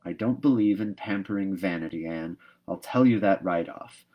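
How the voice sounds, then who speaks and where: A no-nonsense older woman from a storybook, as a man reads her aloud. She is stern and practical. She speaks in brisk, emphatic scoldings and clipped instructions. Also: low voice, lo-fi sound. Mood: stern, sniffing.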